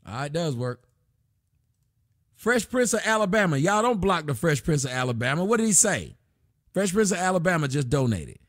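Man, livestream host, slightly high voice, profane and casual, dry close mic. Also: big pitch swings, moderate pace, a bit bright, dry recording. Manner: loose, profane, reads donations and chat aloud. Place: small indoor room at a desk.

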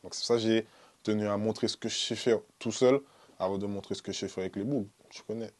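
Low-voiced young man, casual and slangy. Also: steady tone, fast talker. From French Antilles (born in Guadeloupe, lived in Martinique and French Guiana). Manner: slangy, informal, peppered with "tu vois" and shout-outs. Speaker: recording artist being interviewed. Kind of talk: casual interview talk to camera. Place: small dark recording studio, indoors.

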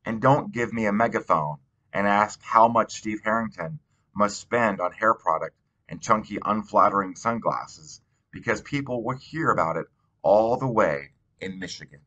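A man reading a novel aloud in an expressive storytelling style. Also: fairly low pitch, moderate pace, lo-fi sound. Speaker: audiobook reader.

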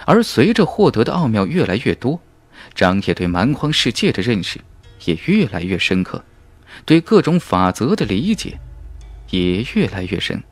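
Low-pitched man narrating a fantasy audiobook. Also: very animated, normal speed, no room sound, soft background music. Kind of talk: dramatic scripted narration of a fantasy novel.

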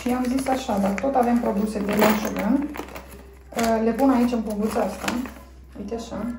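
A woman in her thirties from Romania, chatting casually through her cleaning while filming on her phone at home, pausing often with fillers as she works. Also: on the high side, fairly even intonation, gravelly, background noise. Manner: chatty, talks while doing chores, frequent pauses and fillers. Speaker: family vlogger. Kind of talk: casual clean-with-me vlog narration. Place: family home, indoors. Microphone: phone camera.

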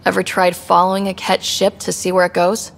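A lowish-voiced young space explorer. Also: female voice, completely flat delivery, a little fast, gravelly. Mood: curious, earnest. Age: young adult. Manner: direct, inquisitive. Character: young female space explorer, earnest, probing and direct. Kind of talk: video game dialogue acting.

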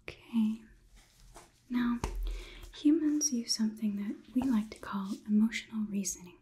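A young woman whispering softly and deliberately in an ASMR roleplay, playing a curious, logical robot overlord who questions the listener clinically. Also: fairly high pitch. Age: young adult. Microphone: close ASMR microphone.

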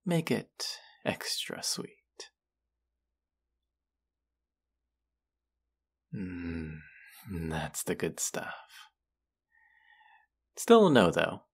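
A man performs a smug young man in an audio roleplay, the condescending twin brother. His voice is very low and gravelly, with slow, taunting drawls. He talks straight at the listener in a mocking, teasing way. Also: very animated, full character voice. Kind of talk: scripted roleplay addressed to listener.

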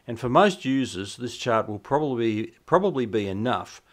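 An Australian man narrating an instructional software walkthrough in a measured, explanatory manner. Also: mid-range voice, big pitch swings. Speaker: shortwave radio hobbyist, presenter.

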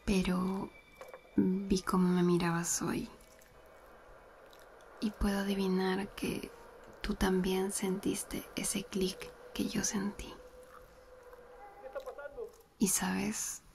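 A woman's voice: medium pitch, little pitch movement, very slow, gravelly, long pauses, slight background noise. A woman playing a giggly whispering flirt. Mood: flirty whisper. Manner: soft close whispering, giggly. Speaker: ASMR roleplay performer. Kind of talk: whispered ASMR roleplay.

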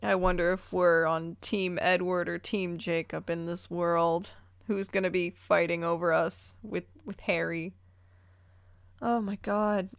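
A woman's voice: fairly low pitch, steady tone, a little slow, gravelly, dry recording, studio quality. A woman reading fan fiction aloud, dropping casual, incredulous, playful asides as she goes.

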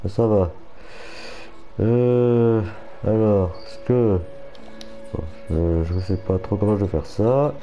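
Brief: stuffy-voiced man mumbling through gameplay, low and very mellow, faint music under him. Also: slow pace, long pauses. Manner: muttering, swears at setbacks. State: has a cold. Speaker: gaming channel host. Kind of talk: casual gameplay commentary.

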